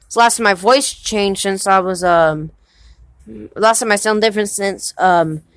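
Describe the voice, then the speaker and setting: A young man playing a halting nineteen-year-old husband. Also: high voice, fairly bright tone, clear, resonant tone, no room sound, studio quality. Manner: hesitant, halting, many restarts. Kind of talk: amateur home movie acting.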